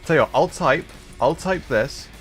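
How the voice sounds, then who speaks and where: A man's voice: fairly high pitch, expressive, normal speed. A man hosting a gaming livestream, chatting casually in a wry, self-deprecating way. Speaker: livestream host, gamer. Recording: background music behind voice. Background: music.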